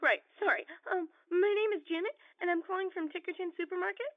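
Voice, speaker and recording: A woman's voice: very high voice, fast, no room sound. A woman playing a timid supermarket worker calling for help in an audio drama, hesitant and pleading. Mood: flustered. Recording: dull, band-limited phone call.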